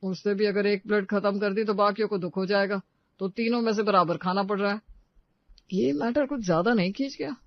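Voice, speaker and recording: A fussy man of the house in a cartoon family tale, voiced by an actor. He has a very high voice for a man, in dry, slightly muffled sound. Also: brisk, no echo. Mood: weary. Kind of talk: cartoon story dialogue.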